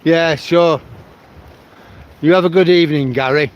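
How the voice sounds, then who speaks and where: A man's voice: a little high, unhurried, lo-fi sound. A man from Manchester with a mixed northern English accent, chatting casually to viewers on a livestream as he walks a riverside promenade. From Manchester, England. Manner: chatty, answers viewers' comments by name. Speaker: livestream vlogger. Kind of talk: casual walking livestream chat.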